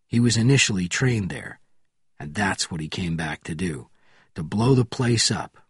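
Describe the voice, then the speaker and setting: A man voicing a calm, grimly certain seasoned agent in an audiobook thriller, speaking softly and firmly. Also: normal speed, breathy edge, no room sound, clean studio sound, heavily acted. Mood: quiet, grim. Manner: soft, low, firm.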